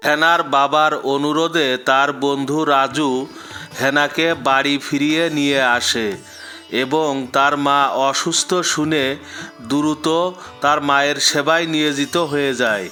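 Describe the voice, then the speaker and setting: A man's voice: a little high, flat delivery, measured pace, sharp tone, faint music behind. A man reading scripted narration for a cartoon moral story.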